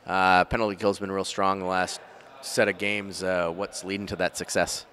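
A man's voice: deep voice, little pitch movement, moderate pace, bright, forceful tone. A middle-aged man putting postgame questions as a sports reporter into a handheld microphone. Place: indoors before a sponsor backdrop at an arena.

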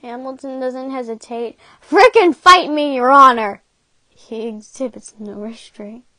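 A woman's voice: sits high, measured pace, pushed and bright, no echo. A woman voicing brash, theatrical comic characters with exaggerated emphasis in an amateur fan dub. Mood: defiant.

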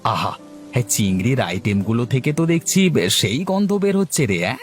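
A man voicing a jolly, food-loving middle-aged customer in a cartoon who exclaims loudly and savours every bite. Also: mid-range voice, big pitch swings, moderate pace, faint music behind, natural voice. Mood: delighted.

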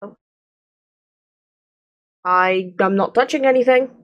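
A woman's voice: mid-range voice, slow, a bit bright. A young woman giving casual, cheeky commentary on a game from a close mic in a room at home. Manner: cheeky, informal. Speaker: let's play streamer. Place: small room indoors at home. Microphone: close desk microphone, headset on. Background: game music.

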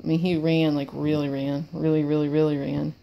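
A woman's voice: low-pitched, little pitch movement, brisk, gravelly, muffled recording, a little hiss or hum. A woman who sniffles as she talks, chatting apologetically and rambling while training her service dog at home. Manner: apologetic, rambling, repeats words. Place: cluttered room at home with wood floor.

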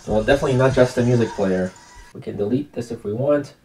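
A man's voice: mid-range voice, fast, over music. A man giving a casual product review in an unboxing video. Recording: clear background noise with clicks.

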